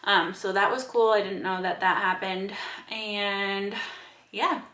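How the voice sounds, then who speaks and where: Bright young woman with a slight lisp. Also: medium pitch, fairly even intonation, takes it slowly, slight background noise. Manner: chatty, rambling with fillers and restarts. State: slight lisp from new aligners. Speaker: fitness vlogger. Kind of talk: casual vlog update. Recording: echoey room.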